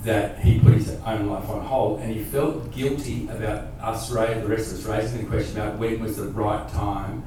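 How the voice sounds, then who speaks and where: A man hosting an interview, speaking loudly and haltingly with many repeated words. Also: lowish voice, fairly even intonation. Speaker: host, interviewer. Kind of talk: interview conversation. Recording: echoey room, far from the mic, clear noise.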